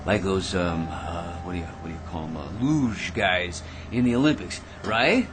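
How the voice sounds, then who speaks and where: An American actor in a radio drama playing a cocky, ordinary man who rambles smugly and stammers with excitement. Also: deep voice, moderate pace, noisy background, lightly acted. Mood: excited rambling. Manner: rambling, smug, stammering excitedly, pestering with tag questions. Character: cocky, chattering, ordinary man full of crackpot schemes. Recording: radio drama with music underneath.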